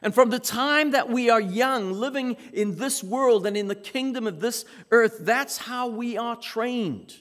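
Slow, emphatic South African preacher. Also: male voice, very high voice, a bit bright. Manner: measured, emphatic, with dramatic pauses. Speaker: pastor. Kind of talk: sermon. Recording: long reverb of a large hall.

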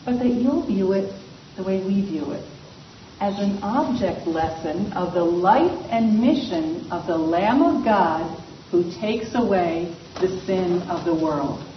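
A woman giving a church presentation in an expressive, storytelling manner. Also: on the low side, slow pace. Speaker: missionary presenter. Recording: echoey, distant, muffled, faint noise.

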